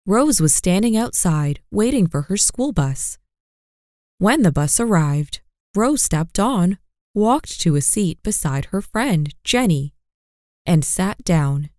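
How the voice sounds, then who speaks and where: A woman narrates a children's story in a slow, deliberate way, her pitch climbing and dipping with every line. Her voice sits a touch low for a woman, and the dry, close recording has no room around it. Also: a little mellow, no room sound.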